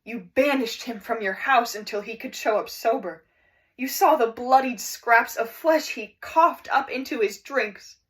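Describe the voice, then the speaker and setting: A woman's voice: fairly high pitch, far from the mic, natural voice. A woman performing an anguished, grieving friend in a dramatic stage monologue, telling it with emphatic, accusing weight. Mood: anguished, forceful. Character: anguished friend grieving a self-destructive alcoholic loved one. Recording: echoey room.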